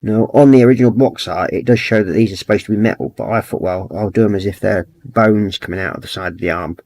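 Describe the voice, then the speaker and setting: A middle-aged man with a croaky throat, chatting easygoing and rambling as he hosts a livestream, reading out messages from chat. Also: fairly low pitch, fast, darkish tone, dry recording. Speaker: livestream host. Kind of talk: casual livestream chat while painting models.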